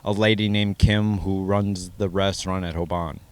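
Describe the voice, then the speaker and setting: An American man giving measured, personal interview answers in an earnest, slightly formal way. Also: low-pitched, steady tone, smooth, no echo. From Minnesota, United States. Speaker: interviewee sharing his adoption story.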